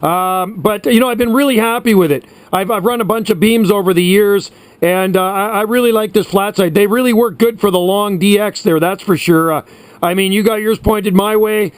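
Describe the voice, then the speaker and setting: A man's voice: high-pitched, moderate pace, clean tone, no echo. A man chatting casually and enthusiastically as a CB radio operator, encouraging the other operator. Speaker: CB radio operator, video host. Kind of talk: casual chat over CB radio.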